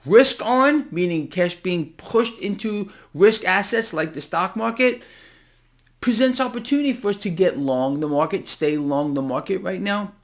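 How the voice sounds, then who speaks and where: A man with a distinctly high voice delivers impassioned, unscripted market commentary. He addresses his audience directly as a channel host, leaning hard on key words with an incredulous, conspiratorial edge. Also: animated, measured pace.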